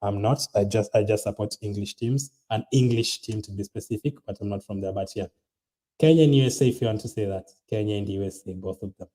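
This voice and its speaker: A man's voice: fairly low pitch, quick pace, mellow. A young man hosting a casual fantasy football stream, rambling with many ums and restarts, tired late at night. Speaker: fantasy football channel host. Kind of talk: casual livestream chat reading viewer comments.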